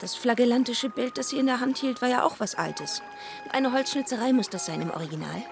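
An actress playing a discreet, thoughtful deaconess who speaks in short phrases in a radio play. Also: female voice, a little high, a little fast, creaky, over music. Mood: puzzled.

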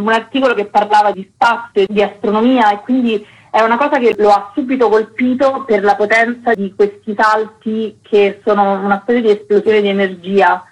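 A woman's voice: medium pitch, breathy edge, rough recording. A woman speaking conversationally as an interview guest promoting an arts festival.

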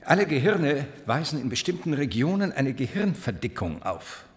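A man's voice: medium pitch, big pitch swings, normal speed, lightly acted. A man playing a cold, clinical research scientist in a science-fiction radio drama, matter-of-fact and pointed. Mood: coolly keen.

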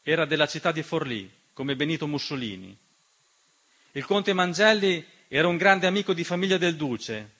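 A man delivering a scripted radio theatre monologue, measured and dramatic, with deliberate pauses. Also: fairly high pitch, expressive, pushed and bright. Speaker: stage storyteller and narrator. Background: piano music.

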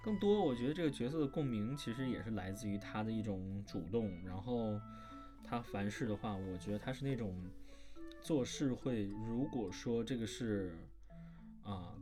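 A man speaking as a voice actor guest in a casual panel interview, informal, animated and playful in how he talks. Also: on the low side. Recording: music playing behind the voice.

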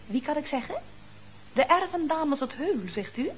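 A brisk dutch office secretary, really high swooping voice, old radio comedy, bit of noise. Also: female voice, lively intonation. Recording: noisy old radio recording.

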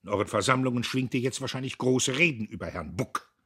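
A man voicing a blustering, pompous and anxious middle-aged factory owner, emphatic and then ingratiating, with a husky voice. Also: medium pitch, quick pace, a bit bright, voice pushed up. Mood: indignant. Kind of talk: audiobook character voice.

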